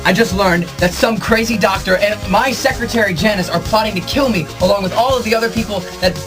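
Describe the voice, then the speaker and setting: A man playing an earnest, bumbling, boastful superhero in an amateur comic drama, melodramatic and excitable. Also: high voice, fast, over music, natural voice.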